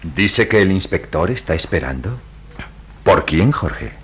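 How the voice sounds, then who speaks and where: Very deep-voiced, solemn radio-drama priest. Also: male voice, big pitch swings, a bit bright, full character voice. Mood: calm, grave. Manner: measured, hesitant, solemn. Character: grave, reticent priest guarding secrets. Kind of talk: Latin American radio drama acting.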